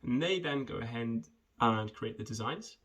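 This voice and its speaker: A man, talking in a friendly, conversational way with hesitant pauses as he introduces himself and his work for students. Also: medium pitch, lively intonation, a bit bright. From rural southwest Wales. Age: 20s. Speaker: junior footwear developer. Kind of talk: informal self-introduction video for students.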